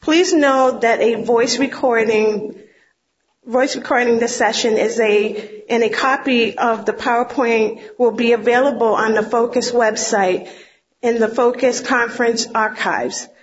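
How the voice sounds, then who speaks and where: A woman moderator reading announcements and introducing speakers at a conference, halting and tripping over titles. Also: a little high, steady tone. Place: conference room. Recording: dull lo-fi lecture recording.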